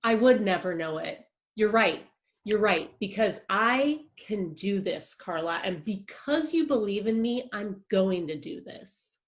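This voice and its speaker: A woman's voice: medium pitch, animated, unhurried. A woman playing an anxious, theatrical playwright-director who gushes and rambles dramatically. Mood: flustered. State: panicked shouting near tears.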